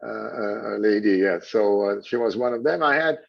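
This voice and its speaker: Man, halting and filler-heavy. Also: fairly low pitch, lively intonation, gravelly. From Switzerland. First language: French. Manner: halting, many "you know" fillers and repeated words. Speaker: hotel general manager. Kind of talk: casual interview answers. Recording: dull lo-fi audio.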